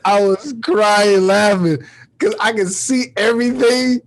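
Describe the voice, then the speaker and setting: Guy hosting a podcast, really high voice for a man, just chatting casually. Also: fairly even intonation, unhurried. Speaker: podcast host. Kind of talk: casual chat, reacting to a guest.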